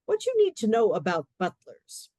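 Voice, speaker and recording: A woman narrating a comic period radio play with measured, wry storytelling. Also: mid-range voice, huge pitch swings, dark tone, no echo.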